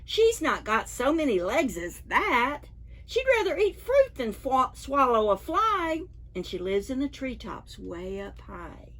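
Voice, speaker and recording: A woman's voice: sits high, big pitch swings, unhurried, a bit bright, full character voice. A woman voicing an anxious, indignant little monkey child in a picture-book read-aloud for preschoolers. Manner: indignant, emphatic protests.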